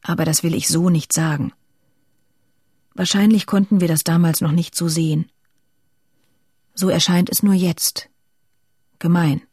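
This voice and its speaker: An actress voicing a weary, resigned letter in a radio drama, quiet, breathy and intimate. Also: female voice, lowish voice, fairly even intonation, a little slow, pauses often, dry recording, studio quality. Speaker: actor in an audio drama.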